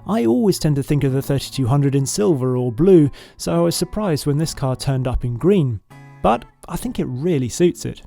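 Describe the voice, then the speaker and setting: A man narrating in a smooth, measured scripted voice-over. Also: a little high, expressive, dark tone. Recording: voice-over with music underneath.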